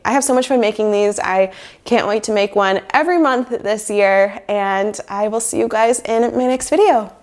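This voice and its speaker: A woman's voice: mid-range voice, moderate pace. Chatty young woman with a nasal cold. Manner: chatty, upbeat, frequent "like" fillers. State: sick with a cold. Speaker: lifestyle vlogger. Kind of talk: casual chat to camera. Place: small bedroom office indoors. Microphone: lapel mic plugged into a phone.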